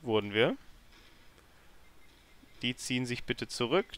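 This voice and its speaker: A man's voice: medium pitch, lively intonation, takes it slowly, fairly bright tone. A man hosting a let's play with casual, self-deprecating and grumbling commentary into a microphone. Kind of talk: casual video game commentary.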